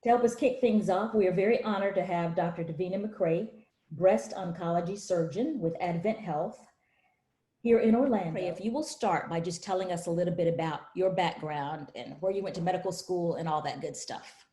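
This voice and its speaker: Mellow-voiced woman hosting a video call. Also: on the low side, moderate pace. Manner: welcoming, polished. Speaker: host. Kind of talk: hosting a webinar interview. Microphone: webcam on a video call. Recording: echoey room over a webcam.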